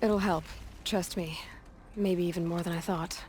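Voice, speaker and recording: An actress playing a young, determined outsider huntress in a video game, plain-spoken and wryly sceptical. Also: female voice, fairly low pitch, steady tone, measured pace, a little mellow, a little hiss or hum, lightly acted. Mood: dry, resolved. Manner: plain-spoken, dry, direct. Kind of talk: video game cutscene acting.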